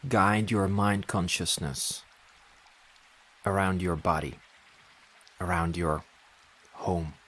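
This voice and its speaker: A man leading a guided meditation as narrator, speaking in deliberate short phrases with long pauses between them. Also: deep voice, a bit bright.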